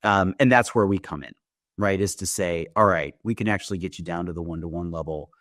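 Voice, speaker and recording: A man's voice: sits low, brisk, a bit bright, no room sound, clean studio sound. A man giving a conversational product demo, explaining things step by step with the odd check question. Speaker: company founder giving a software demo.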